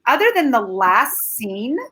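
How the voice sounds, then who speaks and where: A woman from Canada, a native Ukrainian speaker, talks as a film critic in a casual review chat. Her voice sits somewhat high and sounds bright and forceful, and she gives her opinions frankly and with energy. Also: measured pace, slight background noise. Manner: animated, candid, opinionated.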